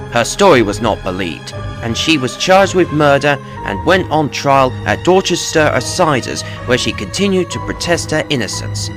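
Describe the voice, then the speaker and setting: A man narrating a true tale as scripted, dramatic storytelling in a measured, grave manner. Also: medium pitch.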